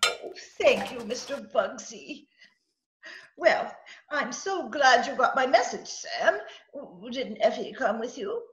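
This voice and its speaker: Casting: actress as sweet innocent older mother for an old-time radio comedy-drama, flustered chatterer, very animated and high. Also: takes it slowly, rough recording. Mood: cheery, nervous. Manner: chatty, flustered, twittering, genteel.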